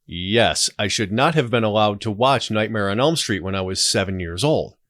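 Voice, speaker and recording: A man's voice: lowish voice, animated, normal speed, fairly bright tone, no room sound. Reflective man in casual conversation, dry sound. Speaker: podcast guest.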